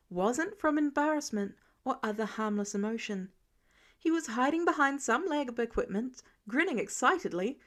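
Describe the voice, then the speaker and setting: A woman with a high voice reads a story aloud. Her delivery is scripted and expressive, in the manner of an amateur audiobook narrator. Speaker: podfic reader.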